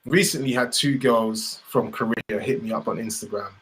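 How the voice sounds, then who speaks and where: A man co-hosting a casual discussion podcast, speaking in an animated, emphatic way with slang. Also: medium pitch, moderate pace, low-quality recording. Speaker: podcast co-host.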